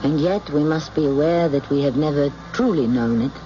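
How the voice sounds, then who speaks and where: A man's voice: fairly high pitch, noisy background. A man narrating as host of a radio mystery series, theatrical, musing and rhetorical. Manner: musing, rhetorical, deliberate phrasing. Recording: muffled old radio broadcast with music.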